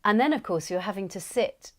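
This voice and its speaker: A woman in her fifties, an audiobook narrator, chatting in a relaxed studio interview, chatty and self-deprecating. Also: mid-range voice, a little fast. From England. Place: recording studio.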